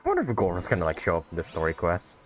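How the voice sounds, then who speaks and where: A man's voice: low voice, wildly swooping pitch, racing, loud music behind the voice. A man giving casual, laid-back gameplay commentary with chuckling asides. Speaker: gaming video host.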